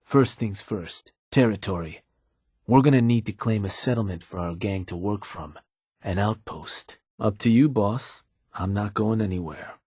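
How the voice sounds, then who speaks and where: An actor playing a sly, cynical gang advisor in a video game, with a husky, laid-back, slangy delivery and an undertone of menace. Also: male voice, unhurried, a little mellow, dry recording, studio quality. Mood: coaxing, matter-of-fact. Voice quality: husky, low. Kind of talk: video game character dialogue.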